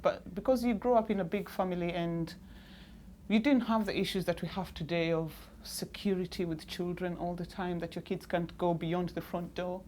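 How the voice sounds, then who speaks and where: A woman speaks in a lively, conversational way about her upbringing and languages. Her voice sits a little low, with faint room sound around her. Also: moderate pace, slight background noise. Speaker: documentary interviewee. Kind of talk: reminiscing to camera.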